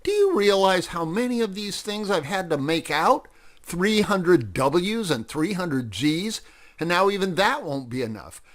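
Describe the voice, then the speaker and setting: A man voicing an excitable, grumbling male pig in a children's story, exclaiming in exasperation. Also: mid-range voice, unhurried. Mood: exasperated.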